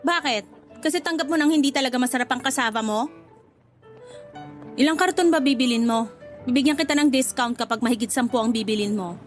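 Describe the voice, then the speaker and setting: Woman playing a prickly, jealous young seller, high-voiced. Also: fairly quick, long pauses, soft background music, lightly acted. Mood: snippy, haughty. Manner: snippy, defensive, comic retorts. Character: prickly, jealous young female cassava seller, secretly lovestruck. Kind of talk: Tagalog radio drama acting.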